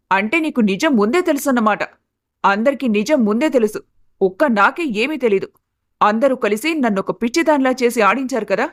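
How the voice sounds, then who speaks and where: A woman acts out a middle-aged, status-obsessed mother-in-law from an animated melodrama. Her voice sits fairly high and drips with indignation and sarcasm as she scolds and accuses. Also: close to an everyday voice. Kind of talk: melodramatic animated family story acting.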